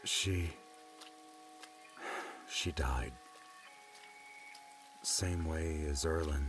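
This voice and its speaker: A man playing a grieving young male adventurer in an audiobook, confiding in a halting, reflective way. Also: very deep voice, pitched below the performer's own voice, full character voice. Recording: music under the voice.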